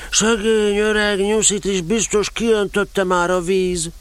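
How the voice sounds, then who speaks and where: A man's voice: high-pitched, flat delivery, clean tone, no echo, close to an everyday voice. A man voicing a kindly, simple storybook bear who laments sympathetically in an audio drama. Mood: sympathetic. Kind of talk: audio drama character acting.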